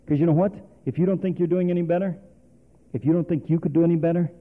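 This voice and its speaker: High-voiced preacher on a tinny recording. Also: male voice, steady tone, fast. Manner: call-and-response preaching, rhetorical questions. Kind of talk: sermon. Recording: tinny phone-like sermon recording.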